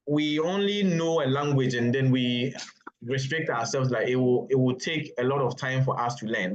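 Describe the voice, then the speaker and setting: A Ghanaian man speaks as a panelist on an online call, explaining his points at a measured pace and often pausing to restart a phrase. His voice sits at an ordinary middle pitch. The call audio sounds dull and lo-fi. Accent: Ghanaian English. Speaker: webinar panelist. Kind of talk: unscripted panel answer.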